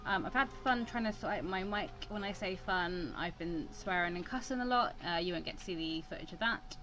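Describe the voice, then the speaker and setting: A woman's voice: fairly low pitch, fairly quick. A woman giving casual let's-play commentary, chatty and often breaking off into asides. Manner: chatty, self-interrupting asides. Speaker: gaming video host. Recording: clear background noise.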